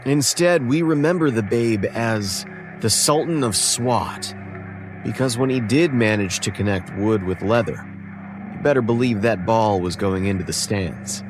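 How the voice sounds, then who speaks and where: A man plays an earnest young male ballplayer narrating a horror audio drama. His voice sits fairly low, and he tells his story deliberately and conversationally, with determination. Music runs clearly underneath. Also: close to an everyday voice. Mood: reflective, admiring. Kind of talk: scripted first-person audio drama narration.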